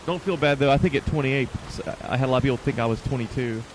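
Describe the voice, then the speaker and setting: A man's voice: medium pitch, fast, slight background noise, low-quality recording. A man in his mid-thirties chatting casually with viewers as a video game livestreamer, in an informal, conversational way. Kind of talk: casual chat with stream viewers.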